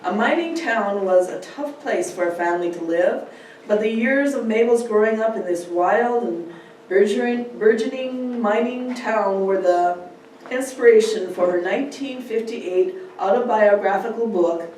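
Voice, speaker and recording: Woman, formal introduction, dark relaxed tone, distant recording, noisy background. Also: mid-range voice, unhurried. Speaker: introducer at a speech club. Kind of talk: prepared speaker introduction read aloud. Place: large echoey indoor room. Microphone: distant camera microphone. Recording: echoey room from far off, hiss.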